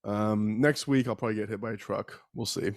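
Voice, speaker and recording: A man's voice: fairly low pitch, steady tone, brisk, no echo, clean studio sound. A man hosting a casual true-crime podcast while sick with a respiratory bug. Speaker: podcast host.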